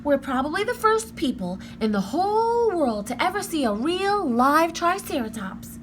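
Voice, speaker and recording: Woman as young girl adventurer, high-pitched, lively, playful, cooing, fearless, children's storybook, slight background noise. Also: normal speed. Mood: eager. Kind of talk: children's storybook read-aloud.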